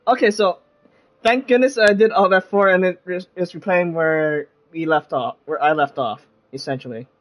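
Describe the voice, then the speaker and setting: A man's voice: high voice, moderate pace, a bit bright. A man giving casual live commentary while streaming a video game. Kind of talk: casual live stream commentary, reading some dialogue aloud. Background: video game music.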